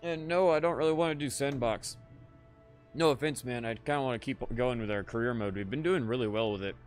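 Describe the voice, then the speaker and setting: A man live-streaming a game in casual chat, tired and a little raspy, laid-back and swearing freely while talking to viewers. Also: mid-range voice, fairly quick, soft background music. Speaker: gaming livestreamer.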